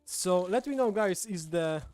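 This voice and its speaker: A man's voice: high-pitched, animated, measured pace, a little mellow, no echo. A man from Poland, a native Polish speaker with a Polish accent, chatting casually as he hosts a livestream. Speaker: livestream host. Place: indoors at a table, streaming setup. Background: background music.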